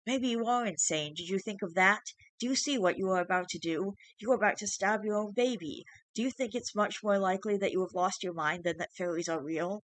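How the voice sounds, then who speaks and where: A man voicing a shrill, spiteful changeling creature posing as an infant. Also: fairly quick, fairly bright tone, no room sound, rough recording. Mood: taunting. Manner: mocking, later frantic and pleading.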